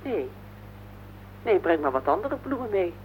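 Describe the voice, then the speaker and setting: Earnest, worried wife, muffled recording. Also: female voice, on the low side, very animated, unhurried. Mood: reproachful. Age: 40s. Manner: earnest, plaintive, insistent. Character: worried, insistent wife of forty-one, earnest and heavy-hearted. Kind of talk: radio play acting. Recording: noisy, band-limited old radio play recording.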